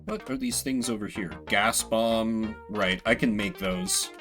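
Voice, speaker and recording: A man's voice: fairly low pitch, a little slow, a bit bright. A man doing live game commentary in a playful, sweary way, reading bits of text aloud and chatting with viewers. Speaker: game streamer. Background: video game music.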